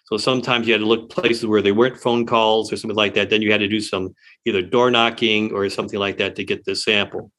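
A man's voice: fairly low pitch, flat delivery, fast talker, few pauses, fairly bright tone. Chatty older male pollster. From Detroit, Michigan. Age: 60s. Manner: chatty, rambling, chuckles. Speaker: pollster, guest. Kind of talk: interview, recounting career.

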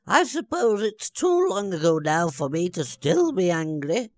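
A man reading a storybook aloud and voicing a fussy, chattering old male wizard in a high, theatrical voice. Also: big pitch swings, a little slow, dry recording.

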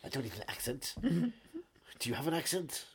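A man putting on a jokey film actor in improvised comic banter, husky, rambling and giggly. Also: on the high side, big pitch swings, a little fast, exaggerated voice. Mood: playful, rambling. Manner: playful, rambling, frequently breaking into giggles.

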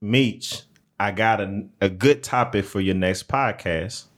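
A man co-hosting a podcast in casual chat, talking in a laid-back, drawn-out way. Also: on the low side. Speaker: podcast co-host.

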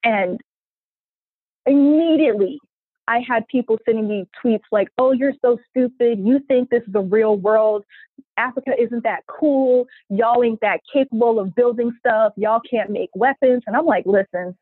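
A woman's voice: a little high, moderate pace, breathy edge, dry recording. A woman engineer talking earnestly and with passion as a guest in an interview, heard over a remote line. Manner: earnest, passionate, quotes people in voices. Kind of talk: casual interview talk. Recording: tinny phone-like line.

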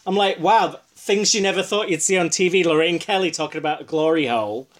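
A man's voice: on the high side, a little fast. A man co-hosting a casual chat, talking in a chatty, teasing way and steering the conversation. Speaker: podcast co-host.

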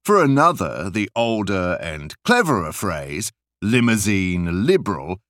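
Slow, highly animated male audiobook narrator. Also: dry recording, studio quality. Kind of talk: expressive scripted narration of literary essays.

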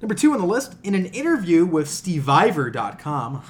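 A man's voice: sits high, sing-song, fairly quick. A man co-hosting a casual gaming podcast, joking in crude, sweary slang. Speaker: podcast co-host.

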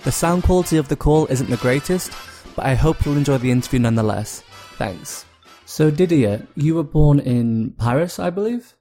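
A man hosting a podcast, giving a prepared, smooth intro and asking interview questions. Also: fairly high pitch, expressive, normal speed, mellow, soft background music. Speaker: podcast host.

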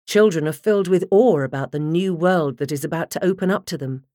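A woman reading a nonfiction audiobook in a measured, articulate way, close to the microphone. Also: low voice, dark tone, no echo. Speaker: audiobook narrator. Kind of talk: scripted nonfiction audiobook narration.

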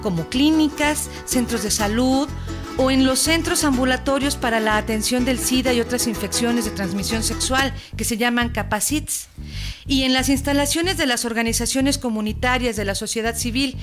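A woman's voice: fairly high pitch, moderate pace, lightly acted. A woman with a Mexican accent playing a courteous, formal health helpline operator in a scripted radio spot. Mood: polite, informative. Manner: formal, explanatory, polite. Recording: music bed behind the voice.

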